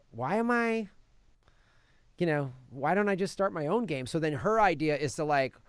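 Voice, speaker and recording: A man's voice: fairly high pitch, clean tone, no room sound. A man hosting a podcast, giving a casual film review and acting out quotes as he retells things. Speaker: podcast host reviewing films. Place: podcast studio.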